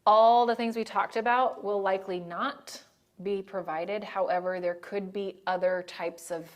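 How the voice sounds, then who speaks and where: A woman's voice: medium pitch, steady tone, unhurried. A woman leading a training session on disaster assistance, talking casually and checking in with the group as she goes. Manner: conversational, checks in with "right?" and "okay?". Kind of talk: training presentation.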